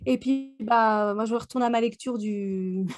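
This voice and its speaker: A woman in relaxed, informal fan discussion as a book club co-host, speaking slangily. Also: on the high side, unhurried, faint music behind. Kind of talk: casual chat, fan discussion.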